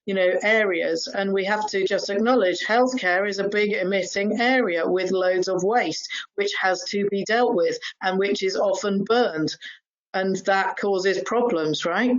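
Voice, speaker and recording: A woman's voice: mid-range voice, unhurried, dull audio. A woman, talking chattily as a panel speaker, full of fillers and asking "right?". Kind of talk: webinar panel discussion.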